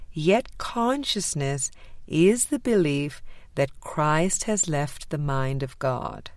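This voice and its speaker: A woman narrating a spiritual audiobook in a measured, deliberate way with frequent pauses. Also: low voice, no room sound. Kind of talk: scripted spiritual book reading.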